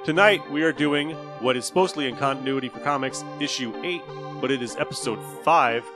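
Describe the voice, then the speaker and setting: A male podcast host with a mid-pitched voice introduces the show casually. Music plays clearly behind him. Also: a little fast. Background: loud music.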